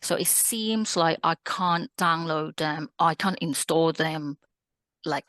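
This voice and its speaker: A woman's voice: low voice, slow, a bit bright, dry recording. A woman attending a workshop, asking questions casually in hesitant, piecemeal phrases.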